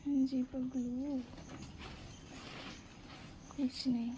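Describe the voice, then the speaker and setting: High-pitched, cutesy young woman murmuring sweetly. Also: little pitch movement, drawn-out words, warm tone, slight background noise, poor audio. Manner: cutesy, coaxing, affectionate murmuring. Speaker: girlfriend in a one-sided phone-call roleplay. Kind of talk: intimate romantic phone chat.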